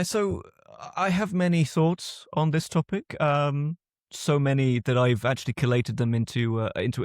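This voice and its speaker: British man, guest author, reflective and measured, mid-pitched, recorded dry and close. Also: dry recording. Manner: thoughtful, reflective, often restarts sentences. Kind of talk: conversational interview answers.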